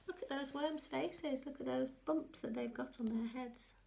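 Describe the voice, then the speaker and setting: Slow-paced woman reading a children's story. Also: sits high, vocal fry. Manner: expressive sing-song reading, hushed asides. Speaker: infant school story reader. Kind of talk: children's picture-book read-aloud with commentary.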